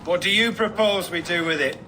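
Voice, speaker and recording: Casting: gruff commanding Norse lord, high-pitched, forceful, quick temper, video game drama. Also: male voice. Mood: grudgingly grateful. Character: hot-tempered, blunt Viking lord. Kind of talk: dramatic game cutscene acting.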